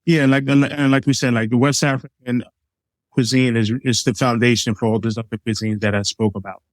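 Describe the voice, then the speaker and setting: A man's voice: mid-range voice, flat delivery, a little fast, no echo. Easygoing middle-aged man from Liberia. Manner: easygoing, explains with examples, many fillers. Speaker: chef, guest. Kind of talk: casual interview talk.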